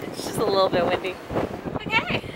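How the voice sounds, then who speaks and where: A woman's voice: mid-range voice, wildly swooping pitch, fairly quick. A woman in her thirties chatting playfully on a travel vlog, laughing often, outdoors on a windy lighthouse gallery with a camera mic. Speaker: sailing vlogger. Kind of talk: casual travel vlog chat. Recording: wind noise on camera mic.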